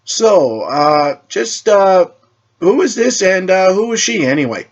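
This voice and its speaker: A man's voice: sits high, big pitch swings, slow, dull audio, pitched above the performer's own voice, heavily acted. A man voicing a cocky, flippant teenage male superhero who stammers and wisecracks in an audiobook-style story. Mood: confused.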